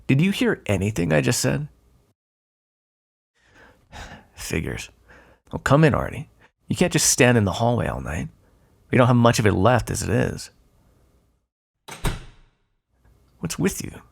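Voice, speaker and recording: A man playing a smug, predatory vampire in an ASMR roleplay, taunting and flirtatious, with a gravelly, breathy voice. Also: mid-range voice, sing-song, normal speed, pauses often, heavily acted. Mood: dry teasing. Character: predatory, teasing male vampire, smug and seductive.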